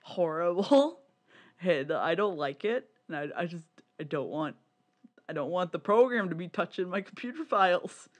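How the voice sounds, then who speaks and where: Podcast cohost just chatting, giggly and sweary, mid pitched voice. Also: lively intonation, moderate pace. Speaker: podcast co-host. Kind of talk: casual podcast chat about visual novels.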